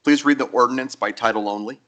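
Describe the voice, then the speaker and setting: A man presiding over a council meeting, brisk and procedural as he moves through the agenda in a council chamber. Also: mid-range voice, a bit bright, dull audio.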